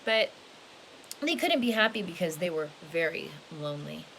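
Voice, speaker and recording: Expressive woman reading a picture book, faint hiss. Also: fairly low pitch, creaky, poor audio. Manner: expressive, drawn-out key words with casual asides. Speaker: storybook reader. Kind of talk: children's picture book read-aloud. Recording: hissy home recording with background noise.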